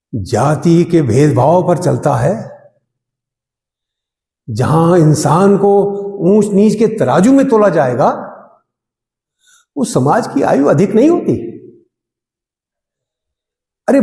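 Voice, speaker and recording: Male defence lawyer, acted, stage courtroom monologue: high-pitched, widely swinging intonation, oratorical and bitterly ironic, ringing echoey room. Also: creaky, warm tone, heavily acted. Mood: impassioned. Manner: oratorical, emphatic repetition, dramatic pauses. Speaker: actor performing an audition monologue.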